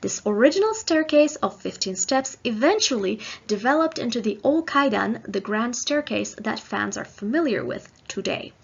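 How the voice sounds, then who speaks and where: Woman reading out a lecture, kinda husky, takes it step by step, dull lo-fi audio. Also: fairly high pitch. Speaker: guest lecturer. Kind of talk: scripted academic lecture.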